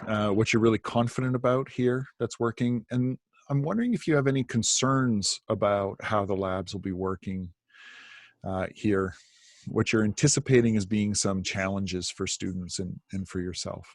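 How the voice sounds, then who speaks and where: Male host, low-ish pitch, dry mic, filler-heavy questions. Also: moderate pace, no room sound. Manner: friendly, with many filler "uh"s. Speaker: interview host. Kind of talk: video call interview. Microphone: video call.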